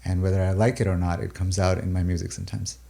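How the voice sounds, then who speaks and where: A low, mellow man with a gravelly edge speaks slowly in a reflective interview. He is a native Urdu speaker from Pakistan and the UAE. He pauses and restarts often as he thinks aloud about his music. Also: flat delivery. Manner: thoughtful, halting, many fillers and restarts.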